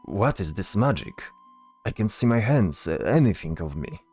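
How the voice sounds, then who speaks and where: Man playing an earnest, low-voiced fantasy soldier. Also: big pitch swings, moderate pace, a little mellow, breathy edge, soft background music. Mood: amazed. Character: earnest, dutiful male soldier in fantasy adventure. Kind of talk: fantasy audio drama dialogue.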